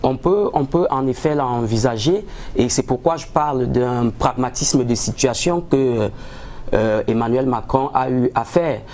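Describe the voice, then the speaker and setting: A man answering as a political analyst in a formal, measured, somewhat hesitant way, dotted with rhetorical tags. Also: medium pitch, a little mellow, a little hiss or hum. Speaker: political analyst, studio guest.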